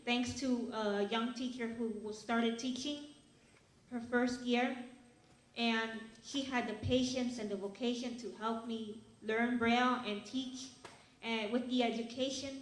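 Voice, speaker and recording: A young woman from Ecuador speaks earnestly at an awards event, pausing and restarting as she goes. Her voice sits a little high. It rings around an echoey hall. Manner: earnest, halting, with fillers and restarts. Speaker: award recipient giving a speech. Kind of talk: personal acceptance speech.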